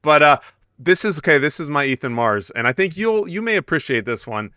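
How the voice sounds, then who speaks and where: A man's voice: on the high side, expressive, fairly quick, pushed and bright, no room sound, studio quality. A man co-hosting a casual gaming podcast in an informal, joking way. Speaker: podcast co-host.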